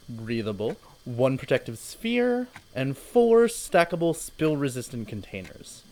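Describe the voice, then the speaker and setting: Pompous, animated man playing a corporate bureaucrat. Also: a little high. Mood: dry, officious. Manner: pompous, condescending, clipped officialese. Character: pompous, officious male corporate bureaucrat boss, easily offended. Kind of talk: audio drama acting.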